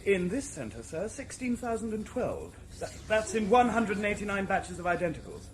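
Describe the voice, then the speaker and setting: A man's voice: high-pitched, big pitch swings, normal speed, a little hiss or hum. An actor playing an eager, deferential male hatchery official who proudly reels off his figures.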